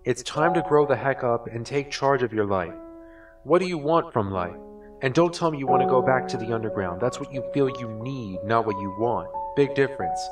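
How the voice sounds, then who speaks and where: Guy acting a blunt lecturing type in a comic dub, bit distant, music clearly behind him. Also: medium pitch, expressive, brisk, close to an everyday voice. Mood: stern, exasperated.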